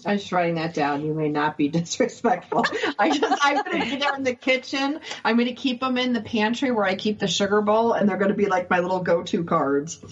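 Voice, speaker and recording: Brief: woman host, mid pitch, chatty and eager, dull lo-fi sound. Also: brisk, slight background noise. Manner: chatty, enthusiastic, filler-heavy. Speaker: podcast host. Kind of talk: casual interview chat.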